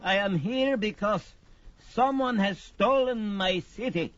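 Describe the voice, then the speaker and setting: An actor playing an old, boastful, easily indignant sorcerer in a theatrical, emphatic manner on an audio drama record. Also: male voice, sits high, unhurried, a bit bright, soft background music. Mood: indignant, aggrieved. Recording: dull vintage story record.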